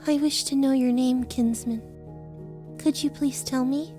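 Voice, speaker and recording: A woman voicing an eerie, formal young girl with archaic, deliberate phrasing in an audiobook drama. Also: sits high, fairly even intonation, mellow, soft background music. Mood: curious, polite.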